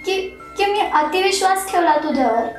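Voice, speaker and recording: Young woman commuter, stage monologue, voiced by an actress; bitter and accusing, soft music behind. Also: very high-pitched, lively intonation, moderate pace. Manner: bitter, accusing, rising to anger. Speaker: actor in a one-act monologue. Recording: very echoey room, music underneath.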